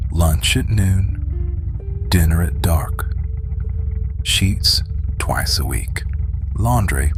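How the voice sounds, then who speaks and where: A man playing a dominant, watchful and protective alpha werewolf rancher in an intimate ASMR roleplay, terse and commanding in a breathy murmur. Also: sits low. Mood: firm, commanding.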